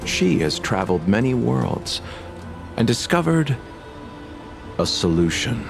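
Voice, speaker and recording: A man voicing a grave, composed envoy in a video game, formal, deliberate and courteous. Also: mid-range voice, big pitch swings, gravelly, exaggerated voice. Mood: grave, explanatory. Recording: music playing behind the voice.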